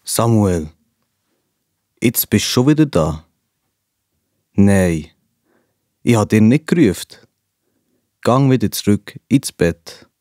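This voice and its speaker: A man's voice: lowish voice, expressive, slow pace, pauses often, dark tone, no echo, studio quality. A man playing a patient, fatherly old priest in a children's Bible audio play. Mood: sleepy, bemused. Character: old priest Eli, patient, drowsy mentor.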